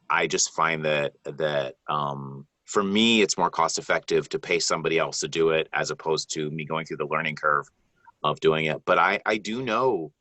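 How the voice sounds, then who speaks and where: A deep-voiced man from the Bahamas answers questions as a guest narrator in a live online Q&A. His tone is bright and forceful, and he talks in an earnest, rambling way full of ums and restarts. The sound is dry and close. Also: no room sound. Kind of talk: live video Q&A advice.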